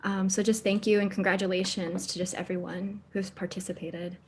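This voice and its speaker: A woman's voice: mid-range voice, flat delivery, fairly quick, darkish tone, a little hiss or hum. A woman speaking as a guest on an online panel, earnest and enthusiastic, with many ums. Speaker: crew director of a youth movement.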